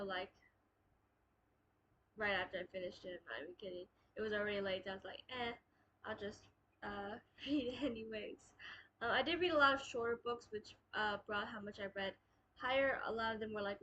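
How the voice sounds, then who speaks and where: A woman's voice: medium pitch, steady tone, brisk, bright, forceful tone, muffled recording. A young woman with a stuffy, nasal voice from a cold, chatting casually and rambling through her monthly book wrap-up with many ums and restarts. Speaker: booktube host.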